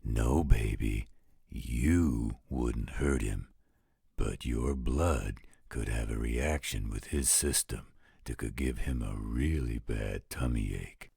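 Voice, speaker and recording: An actor playing an overprotective, fatherly werewolf guardian in an audio roleplay, with a gravelly, husky voice and a careful, measured delivery. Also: very deep voice, warm tone, no echo, clean studio sound, exaggerated voice. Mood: gentle reassurance. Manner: slow, measured, careful explaining.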